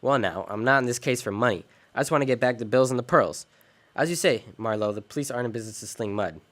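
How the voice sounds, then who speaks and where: An actor playing a world-weary, decent American private detective in an old-time radio drama, wry and clipped. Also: male voice, mid-range voice, fast, a bit bright, lightly acted. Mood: matter-of-fact. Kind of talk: 1940s detective radio drama, first-person narration and dialogue.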